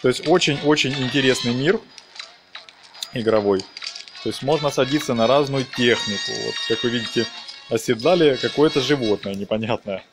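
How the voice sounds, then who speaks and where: Male gaming host, average pitch, informal and playful running commentary, music clearly playing behind. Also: normal speed. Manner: playful, chatty, talks to the game characters. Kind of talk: casual game walkthrough commentary.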